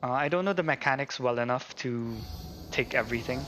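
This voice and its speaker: A man's voice: mid-range voice, a little fast, a little hiss or hum. A man doing casual live gameplay commentary, muttering to himself in frustration. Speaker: gaming streamer. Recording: voice over loud game music. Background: game music and sound effects.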